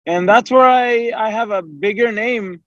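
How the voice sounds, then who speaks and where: High-voiced young man, dry dull sound. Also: measured pace, fairly bright tone, no echo. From United States. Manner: earnest, talks freely in long runs with fillers and self-quotes. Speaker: professional MMA fighter, guest. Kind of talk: casual interview answers.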